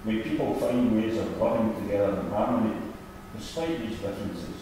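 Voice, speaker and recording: Deep-voiced older man praying in echoing church. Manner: solemn, measured phrasing. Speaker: church member leading prayers. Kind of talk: formal read-out intercessory prayer. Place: large church, at a lectern. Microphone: gooseneck lectern microphone. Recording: echoey church hall, distant, noisy.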